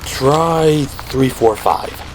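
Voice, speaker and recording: A man's voice: mid-range voice, very slow, voice pushed up. A man playing an adult police officer, weary and wry, in an audio drama. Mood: urgent, hopeful. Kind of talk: audio drama character acting. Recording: roomy echo, distant, background noise.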